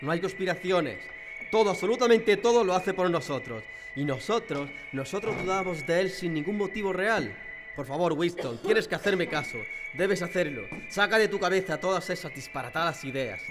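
A man's voice: high-pitched, expressive, moderate pace. A man from playing a brainwashed prisoner in a radio drama, pleading fervently for devotion to his oppressors. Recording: long hall reverb, faint music behind.

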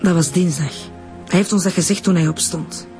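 An actress with a Flemish accent playing a firm, defensive, grieving mistress in a radio drama. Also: female voice, on the low side, a little fast, warm tone, soft background music, natural voice. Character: dead doctor's defensive, grieving secretary and mistress.

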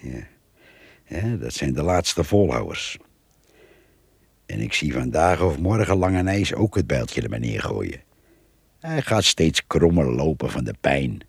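Gravelly, very husky old countryman. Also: very deep voice, sing-song, heavily acted. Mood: reflective, plainspoken. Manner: folksy, blunt, halting. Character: gruff old countryman, poacher, loyal drinking companion. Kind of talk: radio play acting.

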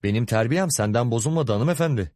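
Exasperated husband in a storybook, male narrator acting: proud, stern, short fuse, lively raised intonation. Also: medium pitch, fairly quick, dry recording, studio quality, pitched above the performer's own voice, close to an everyday voice. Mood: furious, proud. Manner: stern, warning, gritted-teeth indignation. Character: hot-tempered, proud, exasperated husband and father.

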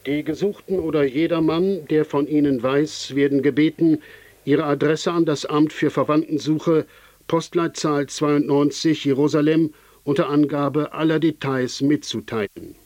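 An actor playing a formal radio announcer, reading an official notice in a measured style. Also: male voice, fairly high pitch, steady tone, a little mellow, lightly acted. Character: formal male announcer of old Israeli state radio.

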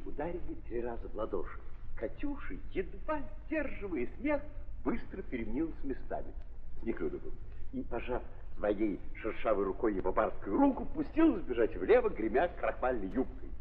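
A man narrating a literary drama in an expressive, deliberate 1930s theatrical style, with dramatic pauses. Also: mid-range voice. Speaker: narrator, stage actor. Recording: muffled 1930s recording, long reverberant hall.

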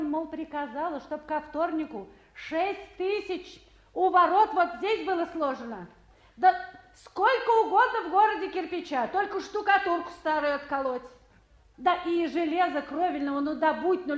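Casting: actress as brisk, businesslike female council boss, high voice, vintage radio drama, roomy studio sound. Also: lo-fi sound, close to an everyday voice. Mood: brisk, commanding. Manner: businesslike, commanding, conversational. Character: brisk, bossy female town council official, warm underneath. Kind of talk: 1950s Soviet radio drama acting.

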